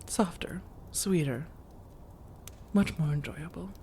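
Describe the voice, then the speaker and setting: A woman playing a flirtatious, coaxing female vampire in a soft-spoken ASMR roleplay, teasing and measured, with intimate pauses and breathy slips into whispers. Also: low-pitched, slight background noise. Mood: calm, reassuring. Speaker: audio roleplay performer.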